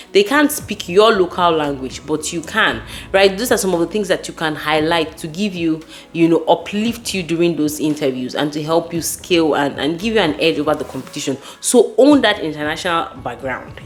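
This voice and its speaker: Nigerian-accented woman, lowish voice, emphatic. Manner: emphatic, repeats key words. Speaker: host giving career advice. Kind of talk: casual advice talk to viewers.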